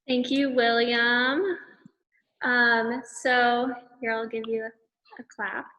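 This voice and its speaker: A woman hosting a virtual event, introducing speakers slowly over a video call. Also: on the high side, little pitch movement, pushed and bright, lo-fi sound. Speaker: event host.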